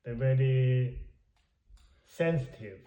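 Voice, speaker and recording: Male English learner, hesitant, slow, muffled recording in a roomy space. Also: mid-range voice. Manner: hesitant, searches for words, short replies. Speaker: English student. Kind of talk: casual one-on-one language lesson.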